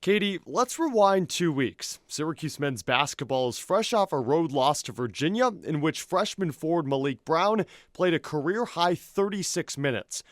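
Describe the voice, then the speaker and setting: A man's voice: on the high side, expressive, moderate pace, few pauses, dry recording, clean studio sound. A young man working as a sports broadcaster, commentating and presenting in a punchy, descriptive announcer's delivery. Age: young adult.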